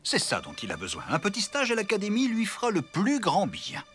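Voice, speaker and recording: A man's voice: high voice, wildly swooping pitch, a little fast, fairly bright tone, full character voice. A man playing a good-natured, playful elephant father in a French cartoon. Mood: concerned. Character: good-natured cartoon elephant dad, jokey home cook. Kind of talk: French cartoon dub acting. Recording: music behind the voice.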